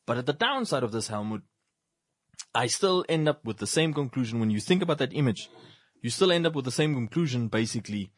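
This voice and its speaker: A man's voice: medium pitch, lively intonation, brisk, no room sound. A man in casual discussion lays out his case as a chain of paired points that climb in energy. His voice sits in an ordinary middle register. The recording is close and dry, with no room sound. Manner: lists points in building sequences, then drops to a quiet wrap-up. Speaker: talk show co-host.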